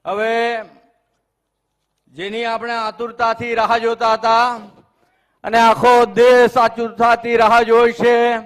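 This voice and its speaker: A man's voice: extremely high pitch, completely flat delivery, slow, a bit bright. A man making a formal introduction as a rally announcer. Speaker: event announcer.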